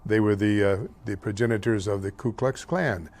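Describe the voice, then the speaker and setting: Older man, local show host, lowish voice, hesitant delivery, slips over names. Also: fairly even intonation. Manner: halting, frequent uh fillers and name slips; grows louder and more heated on politics. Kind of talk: local talk show monologue.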